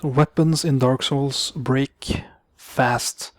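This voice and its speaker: A man's voice: mid-range voice, fairly even intonation, dry recording. A young man from Norway, speaking English as a second language, giving casual gameplay commentary and often stopping to correct himself. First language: Norwegian. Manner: halting, self-correcting, mutters asides under his breath. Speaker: gaming commentator.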